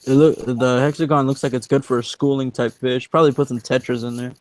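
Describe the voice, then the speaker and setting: Male stream guest, fast talker, dry close sound. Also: mid-range voice, flat delivery, dry recording. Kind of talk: casual conversation.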